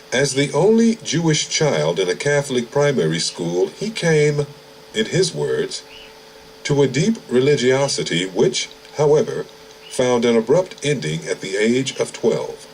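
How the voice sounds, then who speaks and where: A man narrates a written essay in a mid-pitched, lively voice that rises and falls widely. His phrasing is measured and emphatic, with deliberate pauses. There is noticeable background noise on the recording. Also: low-quality recording. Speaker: reader of an essay. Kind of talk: scripted essay narration with read-out quotations. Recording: clear background noise, ambient sound.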